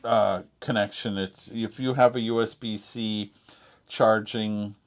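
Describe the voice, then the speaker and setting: A man's voice: fairly low pitch, steady tone, slow pace. A man with an American accent chats as co-host of a gadget review. His delivery is casual and conversational, with laid-back, joking phrasing.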